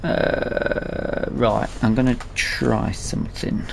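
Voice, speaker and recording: A man's voice: mid-range voice, flat delivery, slow pace, gravelly, background noise. A British man giving casual, unscripted let's play commentary on a video game, thinking aloud as he plays. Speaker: gaming video host. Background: farming game vehicle and ambience sounds.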